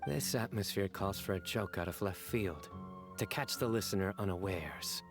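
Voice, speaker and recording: An actor playing a serious young former pupil in a video game, earnest and respectful with deadpan, dry humor. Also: male voice, deep voice, moderate pace. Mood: dry teasing. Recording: game music under the voice.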